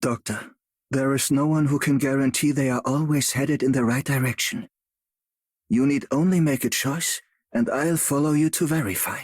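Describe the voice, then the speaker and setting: An actor playing a steadfast, principled young man in a video game, husky, formal and firm. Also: mid-range voice, moderate pace, no echo, studio quality, lightly acted. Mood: resolute, calm. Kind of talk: video game character voice acting.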